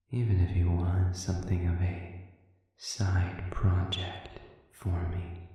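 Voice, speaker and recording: A man playing a sadistic, coolly amused demon in an audio roleplay, speaking in a measured, silky, condescending way with soft edges that slip toward a whisper. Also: low voice. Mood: gloating, savoring. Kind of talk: scripted audio roleplay monologue. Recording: very echoey reverberant room, distant.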